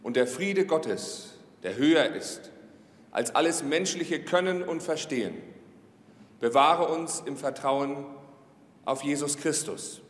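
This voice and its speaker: An actor playing a solemn clergyman giving a measured blessing in a radio drama. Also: fairly high pitch, lively intonation. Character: solemn male clergyman at a funeral. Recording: long echoey church-like reverb, faint noise.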